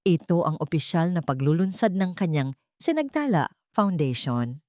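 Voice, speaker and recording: A woman's voice: fairly low pitch, lively intonation, moderate pace, dark tone, no echo, clean studio sound. A woman reading a scripted dramatic story as its narrator.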